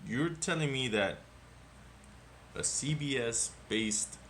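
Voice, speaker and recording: A man co-hosting a casual movie review podcast, talking in an animated, rambling way and swearing often. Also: medium pitch, slow, a little hiss or hum. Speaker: podcast co-host.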